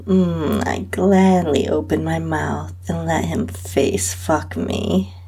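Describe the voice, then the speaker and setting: A woman host reading a first-person erotic story in an intimate, sensual, drawn-out and breathy way. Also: deep voice, fairly even intonation. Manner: breathy, sensual, drawn-out delivery with soft moans. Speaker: podcast host and narrator reading own story.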